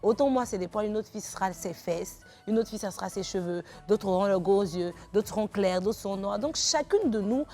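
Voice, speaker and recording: A woman's voice: mid-range voice, brisk, few pauses, warm tone, soft background music. A woman guest on a talk show speaks emphatically, giving heartfelt advice.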